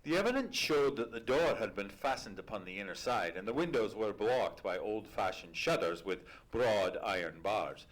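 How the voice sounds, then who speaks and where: Man playing an animated, proper Victorian gentleman. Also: on the low side, normal speed, hardly any pauses, rough recording. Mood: matter-of-fact. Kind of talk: live old-time radio drama acting. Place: theatre stage.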